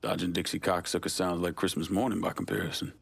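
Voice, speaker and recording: Gravelly, low Southern veteran. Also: male voice, steady tone, fast, heavily acted. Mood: dry, resigned. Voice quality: gravelly, husky. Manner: blunt, laconic. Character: hardened, world-weary male war veteran turned criminal. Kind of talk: dramatic video game dialogue.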